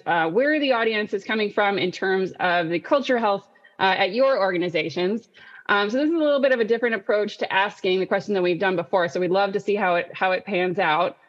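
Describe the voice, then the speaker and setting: Woman hosting an online panel, midrange voice, kinda muffled web call audio. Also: mid-range voice. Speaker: panel host and moderator. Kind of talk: webinar moderating, semi-scripted presentation.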